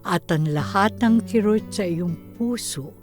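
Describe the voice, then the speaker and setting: A woman voicing a solemn, consoling narrator in a radio drama, speaking deliberately in an inspirational, preachy way. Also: mid-range voice, over music, lightly acted. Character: solemn consoling female narrator counselling listeners about family and faith.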